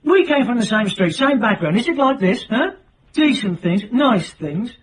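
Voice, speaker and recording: A man's voice: very high-pitched, very animated, normal speed, breathy edge, full character voice. An actor playing a cocky, hard-edged, self-made middle-aged British man in a radio drama, talking in brash, sardonic, colloquial clipped phrases. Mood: defiant, bitter.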